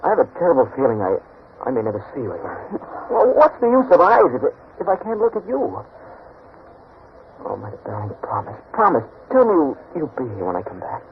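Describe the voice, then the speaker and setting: A tinny, faintly hissy old radio recording of an earnest, insecure man in love. His voice swings widely in pitch as he pleads haltingly, with the heightened emotion of 1940s radio acting. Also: wildly swooping pitch. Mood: frightened, pleading.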